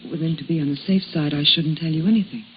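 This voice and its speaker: An actress playing a guarded, bewildered, worldly woman accused of murder in a radio drama, speaking in a hesitant, refined and measured way. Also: sits low, fairly even intonation, lightly acted. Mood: guarded, puzzled. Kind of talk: 1950s radio drama acting. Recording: muffled, noisy old radio recording.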